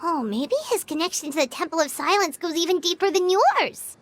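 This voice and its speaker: A small, chirpy girlish companion in a game. Her voice is extremely high and bright, and it swings up and down eagerly. Faint music plays behind her. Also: expressive, brisk. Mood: perky. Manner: perky, eager, exclaiming.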